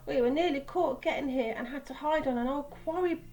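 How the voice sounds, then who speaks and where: A woman's voice: sits high, fairly quick, a little mellow, voice pushed up. A woman voicing a small, frightened male steam engine from a storybook, timid and earnest. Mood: relieved, earnest.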